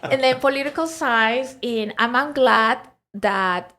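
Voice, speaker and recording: A woman's voice: fairly high pitch, slow pace, bright, forceful tone. A woman from Peru speaking English with a Peruvian Spanish accent, telling her story animatedly in a casual interview and laughing often. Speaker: guest.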